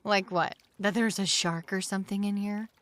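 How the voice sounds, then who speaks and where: An actress playing a playful, daring young woman in an audio drama, with a teasing, excitable way of talking. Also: medium pitch, normal speed, natural voice.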